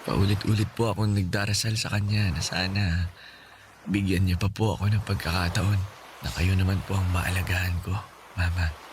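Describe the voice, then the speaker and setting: An actor with a Filipino accent playing a gentle, brave, sickly young son in a radio drama, speaking respectfully and tenderly. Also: male voice, low-pitched, steady tone, normal speed, lightly acted. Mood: pleading, hopeful. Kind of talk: Tagalog radio drama acting. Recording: clearly noisy ambient background.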